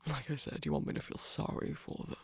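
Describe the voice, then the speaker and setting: A performer voicing a smug, seductive villainous cookie radio host in an ASMR romantic roleplay, slow, coaxing and teasing, with a breathy, gravelly voice that often drops to a whisper. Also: lightly acted. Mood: sly, coaxing. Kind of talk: ASMR romantic roleplay, scripted character acting. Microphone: close microphone, dry.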